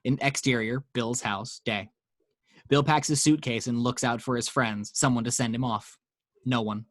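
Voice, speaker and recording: A man's voice: mid-range voice, steady tone, normal speed, no echo, studio quality. A man reading action descriptions as narrator in a dramatic screenplay table read. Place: at home.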